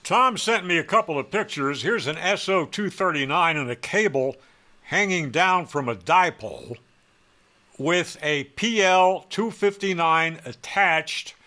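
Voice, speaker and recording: A man narrates a how-to as an opinionated hobbyist. His voice sits a little high and has a bright, forceful edge. He takes his time over each phrase, landing points emphatically with a dry, sarcastic streak. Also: animated, slow pace. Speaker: ham radio hobbyist presenting a how-to.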